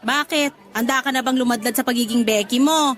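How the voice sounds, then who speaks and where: A woman's voice: high voice, fairly quick, a bit bright, faint music behind. A woman acting a teasing, affectionate street-vendor mother in a Tagalog radio drama, bantering in a Filipino accent. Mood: amused teasing.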